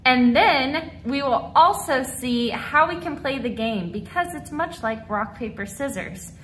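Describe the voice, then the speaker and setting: High, bright-voiced woman teaching children. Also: lively intonation, a little slow, slight background noise. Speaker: dance teacher. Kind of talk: instructional video lesson for children. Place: large mirrored dance studio indoors.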